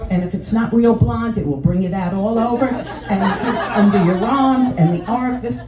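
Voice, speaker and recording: Older Texan woman, mellow voice, brash bawdy comic heckling the crowd, miked from afar in a dull live room. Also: slight background noise. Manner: brash, bawdy, chatty sales pitch. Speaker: stand-up comedian in a sex-doctor persona. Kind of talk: stand-up routine, addressing audience members. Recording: roomy, distant, dull live room sound.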